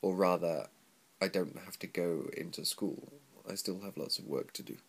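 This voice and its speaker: A young man with a stuffy nose and a cold-lowered voice, rambling casually with many ums in a video diary recorded on a close webcam in a small room. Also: moderate pace, husky. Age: young adult. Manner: relaxed, rambling, frequent ums. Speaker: English teacher vlogger. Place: small room with sliding paper screens, indoors. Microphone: laptop webcam close up.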